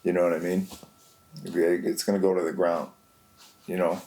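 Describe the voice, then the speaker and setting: Deep-voiced laid-back male podcast guest. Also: level tone, normal speed, mellow, poor audio. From Greek family, raised bilingual. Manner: laid-back, lots of "you know what I mean". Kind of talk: casual chat.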